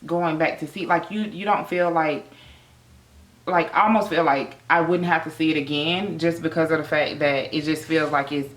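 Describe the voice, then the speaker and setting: A woman's voice: sits low, fairly even intonation, normal speed. An American woman co-hosting a casual review vlog, talking conversationally in a roomy indoor space. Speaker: vlog co-host reviewing a film. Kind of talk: casual chat, movie review. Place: indoor room with bare wall.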